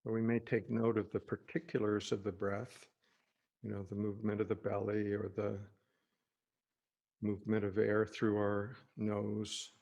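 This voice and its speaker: A man leading an unscripted guided meditation as a teacher, speaking gently and reassuringly in halting phrases with pauses. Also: lowish voice, monotone, creaky, lo-fi sound.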